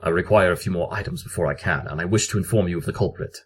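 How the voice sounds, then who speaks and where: Brilliant cartoon-pony detective, male actor: deep, formal, dramatic, excitable, built for audio drama exposition. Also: a little hiss or hum, lightly acted. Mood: assured, explaining. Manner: theatrical, formal, deliberate exposition. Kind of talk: scripted audio drama acting.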